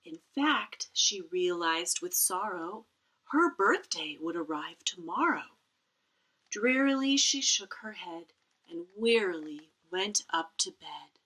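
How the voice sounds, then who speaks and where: Animated woman reading a storybook, slightly husky. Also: lowish voice, takes it slowly, rough recording. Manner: animated, dramatic emphasis with playful asides. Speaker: teacher reading a picture book aloud. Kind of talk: expressive storybook read-aloud for children.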